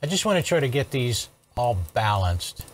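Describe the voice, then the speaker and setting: An older man in his sixties hosts a hands-on technical walkthrough. His pitch sits in the middle range for a man. He explains step by step in a casual, methodical way, often pausing to check in. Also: big pitch swings, a little hiss or hum. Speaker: host, electronics restorer. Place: indoor workshop studio at a workbench.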